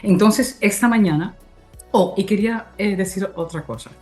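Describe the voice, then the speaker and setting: A woman from Cuba who speaks Spanish as a second language, hosting a webinar with halting, semi-formal welcome remarks and searching for words. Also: medium pitch, warm tone, faint music behind. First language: not Spanish (Spanish is a second language). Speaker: host introducing a webinar.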